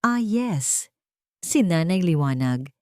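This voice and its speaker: A woman's voice: lowish voice, big pitch swings, slow pace, warm tone, no room sound, studio quality. A Filipino woman voicing a polished, scornful wealthy wife with a gushing, falsely gracious manner in a narrated story. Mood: veiled scorn.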